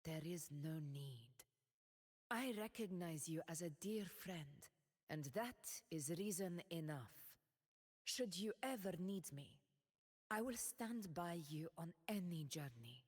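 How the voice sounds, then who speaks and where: A solemn regal warrior ruler. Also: female voice, fairly low pitch, expressive, slow pace, darkish tone, husky, big-room sound, full character voice. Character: proud noble woman ruler, steadfast warrior. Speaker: game character voice lines. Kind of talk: game voice lines.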